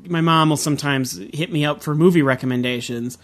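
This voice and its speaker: Male podcast host, fairly high voice, chatty and enthusiastic, quick to laugh. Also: a bit bright. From Southern California. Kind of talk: casual podcast chat.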